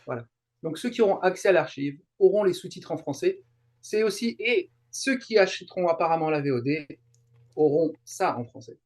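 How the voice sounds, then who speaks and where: A man chatting casually as an interview guest, explaining in halting, repetitive phrases. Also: a little high, lively intonation. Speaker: guest, translator and YouTuber. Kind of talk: casual interview chat about a fan event.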